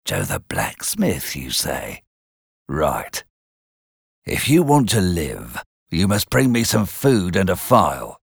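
A man voicing a rough escaped convict who threatens a child, in a storybook reading. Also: low-pitched, huge pitch swings, takes it slowly, no room sound, studio quality, heavily acted. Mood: threatening.

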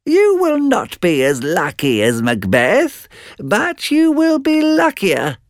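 A man voicing eerie female witches from a storybook, calling out prophecies in drawn-out chants. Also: high voice, huge pitch swings, no echo, clean studio sound, pitched above the performer's own voice, full character voice. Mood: cryptic chanting. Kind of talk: storybook narration.

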